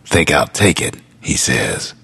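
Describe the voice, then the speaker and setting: A world-weary frontier storyteller announcing a video game in a very deep voice. It is thick with gravel and heavy rasp, carried on a drawl. The delivery is laconic and folksy, with dry amusement throughout. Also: male voice, big pitch swings, takes it slowly, bright, forceful tone, heavily acted. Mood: wry, folksy.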